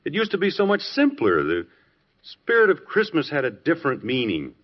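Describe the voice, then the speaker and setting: A man's voice: on the high side, huge pitch swings, moderate pace, husky, exaggerated voice. An American actor playing a wry, nostalgic middle-aged husband and father in a 1950s radio sitcom. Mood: wistful, exasperated. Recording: muffled lo-fi 1950s radio recording.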